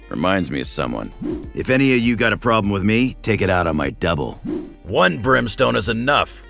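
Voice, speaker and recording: A gruff older military commander from a video game. He is low and creaky with animated intonation, stubborn in manner, with music behind. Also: normal speed, full character voice. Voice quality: gruff, gravelly.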